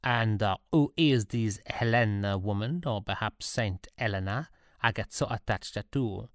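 A man voicing a young boy hero, raising his voice higher than his own. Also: measured pace, no echo, studio quality, lightly acted. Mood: wary. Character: boy hero, anxious and quick.

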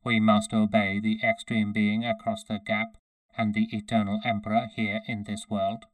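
A man's voice: fairly low pitch, level tone, unhurried, warm tone, no room sound, lightly acted, poor audio. Man voicing a toneless metallic cyborg soldier. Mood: toneless, obedient. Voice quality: metallic, synthesized. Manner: toneless, clipped, matter-of-fact. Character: obedient, emotionless male cyborg centurion soldier.

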